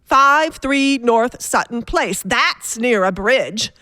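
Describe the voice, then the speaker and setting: An actress playing a nervous, complaining invalid wife in a radio play, haughty, fretful and insistent. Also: female voice, a little high, animated, slow, pushed and bright, no echo, full character voice. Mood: urgent, insistent.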